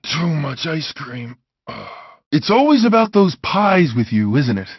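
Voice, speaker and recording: A man's voice: fairly high pitch, big pitch swings, measured pace, gravelly, slightly rough, no echo, highs cut off, full character voice. A man voicing a big, brawny, good-hearted video game bad guy, wisecracking, boisterous and blunt, in compressed handheld game audio. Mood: queasy, grumbling. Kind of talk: video game character voice clips.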